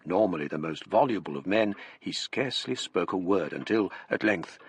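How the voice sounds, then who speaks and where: An actor playing the formal, measured English narrator of a gothic radio mystery. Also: male voice, deep voice, expressive, husky, dry recording. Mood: measured, ominous. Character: male narrator recounting a gothic mystery in first person. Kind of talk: scripted radio drama narration.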